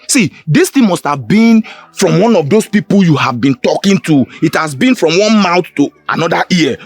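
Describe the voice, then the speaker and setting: A man's voice: a little high, husky, dry recording, full character voice. An actor playing an anxious young man who protests his innocence to his friends.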